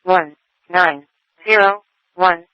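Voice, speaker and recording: A high male announcer voice delivering a fire alert very slowly, word by word. It is formulaic and staccato, heard through band-limited scanner radio. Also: muffled recording. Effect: two-way radio. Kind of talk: station alert, listing units and address word by word.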